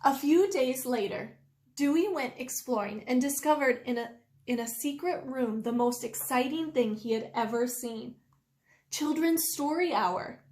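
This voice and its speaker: A woman reading a children's story aloud expressively, with deliberate, drawn-out emphasis. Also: sits high, darkish tone. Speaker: storytime reader. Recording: roomy indoor echo.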